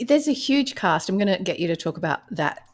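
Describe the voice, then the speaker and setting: A woman's voice: on the low side, very animated, a little fast. A woman speaking as a podcast host and interviewer. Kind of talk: scripted introduction, then conversational interview.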